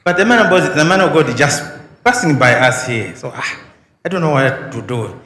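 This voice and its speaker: A man from Zambia speaks hesitantly, then breaks into rising, excited bursts. His bright voice rings in a roomy hall, with a faint hum of noise behind it. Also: on the high side, a little fast. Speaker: congregant.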